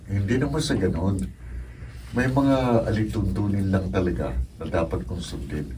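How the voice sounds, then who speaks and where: A low, dark-toned voice of a steadfast young seminarian, acted by a man, serious and gently firm. It is radio drama delivery, picked up at a distance in a noisy room. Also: natural voice. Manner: serious, measured. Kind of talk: Filipino radio drama acting.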